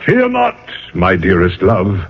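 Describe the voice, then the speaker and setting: An actor playing a proud, lovelorn aristocratic ghost in a theatrical radio drama style, in grandiloquent phrases. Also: male voice, deep voice, wildly swooping pitch, slow pace, creaky, husky, full character voice. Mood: indignant, grandiose. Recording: muffled old radio broadcast recording.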